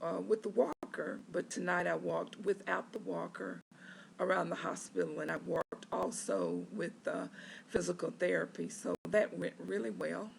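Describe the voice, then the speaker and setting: A patient recording a casual video diary from a hospital bed, hoarse and raspy after neck surgery, talking in a slow, halting way with many filler pauses. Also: mid-range voice, steady tone, slight background noise.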